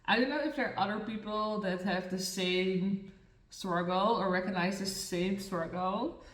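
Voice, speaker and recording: A woman's voice: mid-range voice, measured pace. A young woman with a Dutch accent talks casually to her camera while doing her makeup at home. She sounds self-conscious, using lots of fillers and restarting her sentences. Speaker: beauty and mental health vlogger. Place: room in a new home, indoors. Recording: echoey room, mic far from the speaker.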